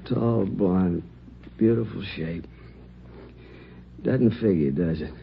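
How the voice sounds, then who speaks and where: Gravelly, low-voiced injured crime victim. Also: male voice, slow pace, heavily acted. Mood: weary, dazed. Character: injured male robbery victim in hospital bed, sheepish. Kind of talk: 1950s radio crime drama acting. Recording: dull old radio recording, faint noise.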